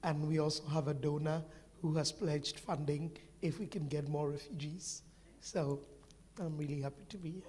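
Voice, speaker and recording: A woman's voice: deep voice, steady tone, moderate pace, warm tone, a little hiss or hum. A woman representing a university, introducing herself in a matter-of-fact way. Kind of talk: panel self-introduction.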